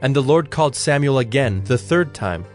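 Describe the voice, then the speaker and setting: A man narrating scripture from a script in a measured, solemn manner. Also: medium pitch, animated, a little mellow. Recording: faint music behind the voice.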